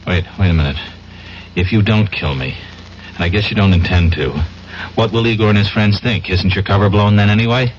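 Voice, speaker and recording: An actor playing a skeptical, wary male reporter who questions haltingly in a 1970s radio drama. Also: fairly low pitch, lightly acted. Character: wary, skeptical unemployed reporter framed for murder. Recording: muffled, noisy old radio drama recording.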